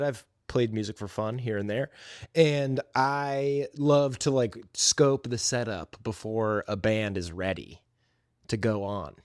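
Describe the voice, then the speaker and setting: A man's voice: mid-range voice, smooth, no room sound. Casual male podcast host, very dry sound. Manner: rambling, slangy, conversational. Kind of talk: casual solo podcast monologue.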